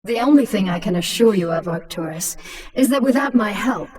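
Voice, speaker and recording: A woman's voice: mid-range voice, lively intonation, brisk, distant mic, over a noisy crowd, lightly acted. An actress voices a menacing alien queen from a video game, a cunning and sardonic woman in command. She is taunting and self-assured, heard a little off the mic over a clamor of many sounds. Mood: coolly menacing.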